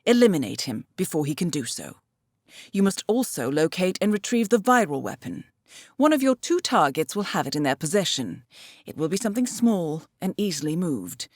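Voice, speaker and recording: An actress playing a composed, coolly professional intelligence handler who guides an assassin in a video game, measured and dryly wry. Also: female voice, lowish voice, sing-song, no echo, studio quality. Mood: composed, businesslike. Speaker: mission handler. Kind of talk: scripted video game mission briefing.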